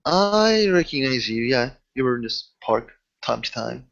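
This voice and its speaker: A man playing a friendly, protective guy in a tabletop roleplay, talking in a halting, repetitive, familiar way. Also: medium pitch, sing-song, creaky, a bit bright, lo-fi sound, pitched below the performer's own voice, full character voice. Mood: friendly, casual.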